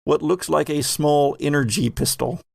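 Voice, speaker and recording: A man's voice: on the high side, normal speed, vocal fry, no echo. A man narrating a tabletop game as game master and host.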